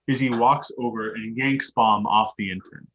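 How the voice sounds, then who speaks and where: A man's voice: medium pitch, fairly even intonation, normal speed, a bit bright, muffled recording, a little hiss or hum. A man reading out stage directions as scripted narration at a play table read.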